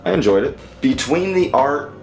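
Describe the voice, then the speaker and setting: A man giving a casual review as a commentator, halting and repeating himself for emphasis. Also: a little high, expressive, gravelly, soft background music. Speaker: comic book reviewer.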